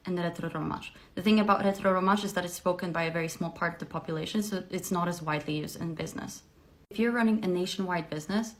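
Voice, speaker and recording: A woman calmly explaining things to camera, with emphatic repetition. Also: fairly low pitch. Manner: clear, methodical, emphatic. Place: Switzerland.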